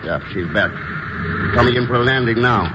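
An actor playing a suave, unflappable secret agent in a 1950s radio drama, dry and understated in manner. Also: male voice, medium pitch, a little fast, natural voice. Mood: wry, composed. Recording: muffled, noisy old radio broadcast.

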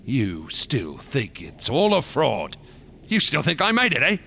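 An unhinged sculptor in a radio drama. The voice is gravelly and forceful, swooping widely in pitch as it sneers, taunts and menaces with theatrical relish. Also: male voice, huge pitch swings, unhurried, a little hiss or hum, full character voice. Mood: scornful.

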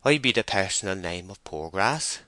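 Nervous rustic farmhand acted by a man, West Country accent, hesitant, humble, apologetic, bright close dry sound. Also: deep voice, lively intonation, normal speed, no echo. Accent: English West Country. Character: timid, muddled, self-effacing male farm labourer.

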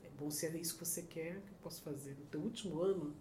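A middle-aged woman from Rio with a Carioca accent, chatting as a podcast guest, lively and quick to laugh. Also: low-pitched. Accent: Carioca Brazilian Portuguese. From Rio de Janeiro, Brazil. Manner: lively, animated, laughs often. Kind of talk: casual storytelling chat. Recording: roomy echo with faint noise.

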